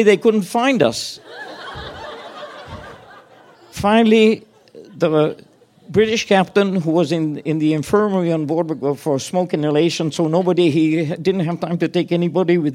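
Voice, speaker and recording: A man's voice: a little high, normal speed, darkish tone. Older Chilean man chuckling through a tale. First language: Spanish. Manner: chuckling, rambling with restarts. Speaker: storyteller. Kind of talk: live personal storytelling.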